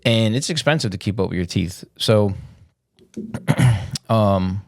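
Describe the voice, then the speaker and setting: A man's voice: on the low side, slow, no room sound, clean studio sound. A man in his forties, stuffed up and sniffling, hosting a casual, rambling solo podcast and telling stories with lots of fillers. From Philadelphia area. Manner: rambling, loose storytelling with many fillers. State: congested, sniffling. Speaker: podcast host. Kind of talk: casual monologue.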